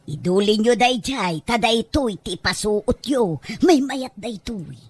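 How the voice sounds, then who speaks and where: A woman's voice: a little high, expressive, slow, slightly rough, dry recording, heavily acted. A woman playing a stubborn, doting, plaintive grandmother with an Ilocano Filipino accent in an amateur audio drama. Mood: proud.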